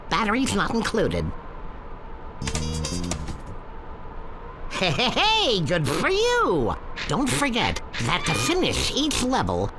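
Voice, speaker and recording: Excitable cartoon duck game host, super swoopy pitch, showy and sarcastic, pretty noisy audio. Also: male voice, exaggerated voice. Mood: loud, excited. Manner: brash, showy, exclamatory. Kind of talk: video game tutorial narration, cartoon acting.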